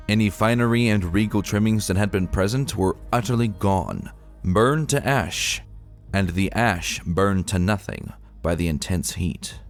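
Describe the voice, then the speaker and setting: A man giving a dramatic, solemn and measured reading of a dark fantasy story. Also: sits low. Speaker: narrator reading fan fiction. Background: music.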